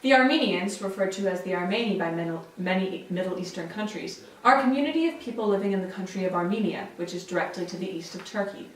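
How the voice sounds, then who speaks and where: A woman's voice: medium pitch, expressive, fast, few pauses. Young female student presenter, scripted narration, heard from a distance in a strongly reverberant room. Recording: very echoey room, distant mic.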